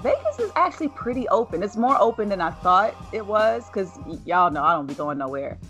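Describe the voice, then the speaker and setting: A young woman hosting a casual podcast chat, her voice raspy, laid-back and laughing often. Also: mid-range voice, very animated, brisk, fairly bright tone, soft background music. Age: young adult. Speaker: host.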